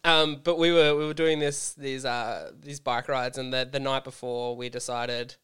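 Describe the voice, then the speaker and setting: A man in his thirties with an Australian accent, slightly hoarse, telling stories animatedly in casual chat. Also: medium pitch, normal speed. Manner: animated storyteller, swears casually.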